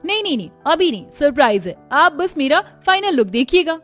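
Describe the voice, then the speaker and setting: Woman acting a young bride, high voice, anxious, pleading, cartoon story, music behind. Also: big pitch swings, brisk, a bit bright. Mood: excited. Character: distressed young bride, apologetic sister-in-law. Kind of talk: Hindi cartoon story dialogue.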